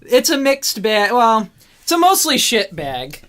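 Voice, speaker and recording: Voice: medium pitch, sing-song, a little slow, a bit bright. A host talking casually about a review topic, teasing and opinionated, with some swearing.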